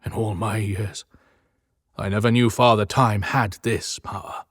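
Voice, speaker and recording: A man's voice: lowish voice, fairly even intonation, unhurried, breathy edge, no echo, studio quality. A man playing a serious, weary Santa Claus in an audiobook drama, grave and questioning. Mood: troubled, questioning.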